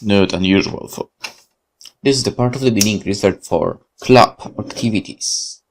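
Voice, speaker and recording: A man whose first language is Polish, hosting a let's play and reading a horror visual novel aloud with lively asides and self-corrections. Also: fairly low pitch, normal speed, slight background noise.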